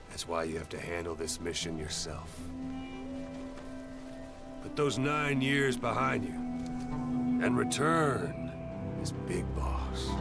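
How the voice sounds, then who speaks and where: An actor playing a grave, calculating veteran soldier in a video game, speaking deliberately with dramatic pauses. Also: male voice, fairly low pitch, vocal fry. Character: gruff, calculating male veteran soldier briefing a legendary commander. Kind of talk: cinematic video game voice acting.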